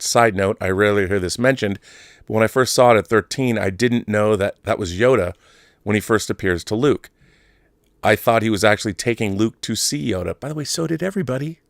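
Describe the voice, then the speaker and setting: A man's voice: medium pitch, no room sound, studio quality. A man in his fifties hosting a film fan show with casual, emphatic commentary, often digressing and repeating phrases for stress. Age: 50s. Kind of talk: casual live commentary and reading viewer mail.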